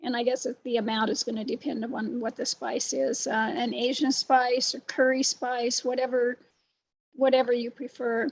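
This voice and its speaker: Soft-spoken woman, high voice. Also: level tone, normal speed, low-quality recording. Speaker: co-host. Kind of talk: casual chat.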